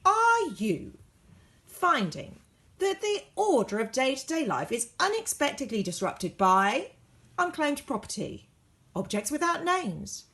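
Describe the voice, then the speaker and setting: A woman's voice: high voice, vocal fry, fairly bright tone, voice pushed up, heavily acted. A woman voicing an insistent, bureaucratic advertisement announcer from a storybook, declaiming in emphatic, weighty phrases.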